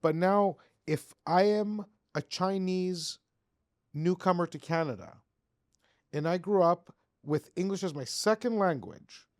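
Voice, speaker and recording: A man from Greece hosting a podcast interview, animated and building his points with rising emphasis. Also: high voice, unhurried, no echo, studio quality. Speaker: podcast host. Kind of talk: conversational interview.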